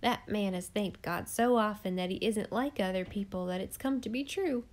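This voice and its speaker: A woman voicing a sharp-tongued, gossiping eccentric woman who mocks her neighbours in church loudly and with scorn. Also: mid-range voice, normal speed, natural voice. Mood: derisive. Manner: loud, derisive, scornful.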